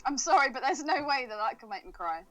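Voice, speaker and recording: A woman's voice: high voice, animated, fast talker, sharp tone. A woman co-hosting a podcast in casual chat, teasing and swearing freely as she banters. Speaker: podcast co-host.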